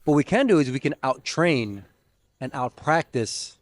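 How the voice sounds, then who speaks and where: An American-born man, an acrobatic yoga teacher, explaining his ideas earnestly as a podcast guest, slowing on key words. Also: medium pitch, big pitch swings, dry recording. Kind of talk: casual conversation.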